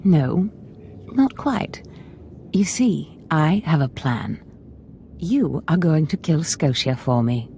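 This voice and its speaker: Scheming villainess mentor, deep female voice, imperious, theatrical, calculating, video game dialogue. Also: animated, takes it slowly, pauses often, mellow, slight background noise, heavily acted. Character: scheming, haughty female Sith master. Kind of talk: video game dramatic acting.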